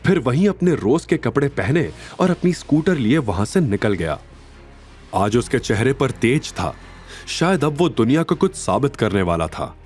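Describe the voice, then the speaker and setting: A male narrator tells a dramatic scripted story. His mid-pitched voice moves expressively and has a slightly rough grain, with faint music underneath. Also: a little mellow.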